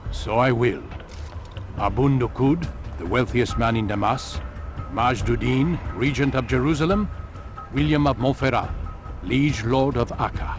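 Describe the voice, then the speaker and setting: An actor playing a grave, commanding older master of assassins in a video game, speaking in measured, oratorical phrases. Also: male voice, medium pitch, music in the background, close to an everyday voice. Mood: stern, instructing.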